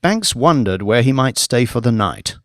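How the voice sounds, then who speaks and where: Male audiobook reader for fiction narration, mid-range pitch, close dry studio-like sound. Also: lively intonation, moderate pace, fairly bright tone, no echo.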